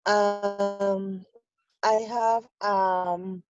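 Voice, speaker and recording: Slow, halting Mexican woman in her twenties. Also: mid-range voice, flat delivery, no room sound, lo-fi sound. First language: Spanish. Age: 20s. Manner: halting beginner English, searching for words. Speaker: language exchange student. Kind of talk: casual video call chat. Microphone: video call.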